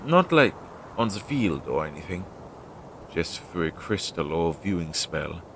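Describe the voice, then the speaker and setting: Very deep-voiced, anxious familiar played by a man. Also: big pitch swings, exaggerated voice. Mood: hesitant. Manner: hesitant, halting, fretful. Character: anxious, self-doubting magical familiar devoted to his master. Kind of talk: scripted audio roleplay, one-sided dialogue. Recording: low-fidelity audio with clear background noise.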